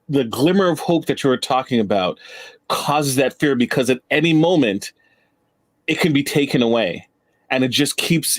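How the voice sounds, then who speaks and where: A man debating casually as a show guest, driving his points home with emphatic repetition. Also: medium pitch.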